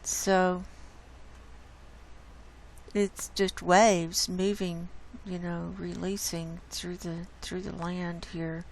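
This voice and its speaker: A woman host with a hoarse voice, casually walking viewers through data shown on her screen. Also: lowish voice, flat delivery, slight background noise, low-quality recording. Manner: unhurried, explains data on screen. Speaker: channel host presenting climate data. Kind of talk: casual screen-share presentation.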